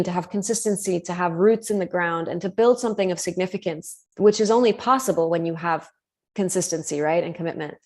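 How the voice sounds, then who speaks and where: A woman's voice: medium pitch, a little mellow. A woman speaking earnestly on a live video call, thinking aloud about commitment as a coach, with frequent restarts and fillers. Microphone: video call mic.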